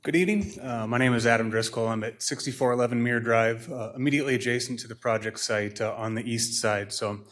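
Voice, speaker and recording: A man giving a measured, formal and polite public comment as a neighbor, speaking into a podium microphone in a council chamber. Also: medium pitch, fairly bright tone. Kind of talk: public comment at a city meeting.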